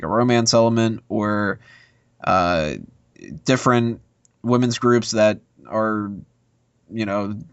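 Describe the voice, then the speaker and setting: A man's voice: fairly low pitch, no echo. A man giving casual, opinionated film commentary as a podcast panelist, discursive and full of asides. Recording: dull lo-fi podcast audio.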